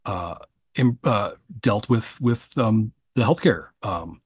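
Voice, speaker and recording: A man's voice: fairly low pitch, lively intonation, breathy edge, no echo, clean studio sound. A man, co-hosting and producing a book podcast, talking casually with frequent restarts and fillers. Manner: halting, many restarts and fillers.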